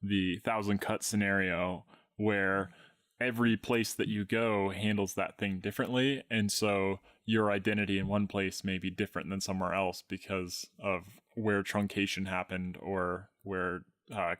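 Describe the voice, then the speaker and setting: Male co-host, lowish level delivery, dry close mic, measured. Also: flat delivery, fairly bright tone, no room sound. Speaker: podcast co-host. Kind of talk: casual chat.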